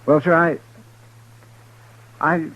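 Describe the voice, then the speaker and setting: Folksy, drawling frontier deputy. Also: male voice, on the high side, steady tone, drawn-out words, fairly bright tone, exaggerated voice. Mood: sheepish. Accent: rural American Western drawl. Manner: hesitant, respectful, folksy. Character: folksy, deferential, earnest Old West deputy sidekick, adult man. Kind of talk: old-time radio western drama acting. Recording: dull vintage radio recording.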